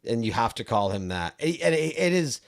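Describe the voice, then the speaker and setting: A man from Maine co-hosts a relaxed podcast chat, his faint Maine accent showing now and then. He talks in an easygoing, rambling way, often losing his thread and laughing it off. His voice sits at an ordinary pitch for a man. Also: a little fast, vocal fry. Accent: slight Maine accent. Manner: easygoing, laughs, trails off mid-sentence. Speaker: podcast co-host. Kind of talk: casual interview chat.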